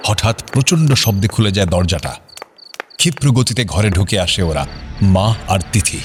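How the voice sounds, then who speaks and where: A male narrator tells a dramatic story in a very low voice. His delivery is measured and somber, with faint music playing behind him. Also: lively intonation. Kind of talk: scripted audio drama narration.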